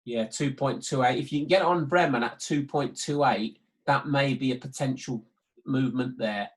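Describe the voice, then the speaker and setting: Male trading tutor, mid-pitched voice, hesitant and repetitive, talking through live markets on an online call. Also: normal speed. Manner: hesitant, repetitive, thinking aloud. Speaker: sports betting trading tutor. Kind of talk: live market walkthrough commentary.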